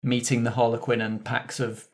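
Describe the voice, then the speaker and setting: British man hosting a relaxed game discussion. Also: medium pitch, moderate pace. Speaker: game master and host. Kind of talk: casual cast discussion of a tabletop game.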